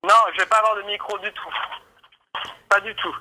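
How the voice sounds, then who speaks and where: A man's voice: high-pitched, flat delivery, fairly quick, noisy background. A man working as a shop assistant, politely and hesitantly explaining things to a customer over the telephone. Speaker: toy shop sales assistant. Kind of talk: customer service phone conversation. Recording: voice heard over a telephone line.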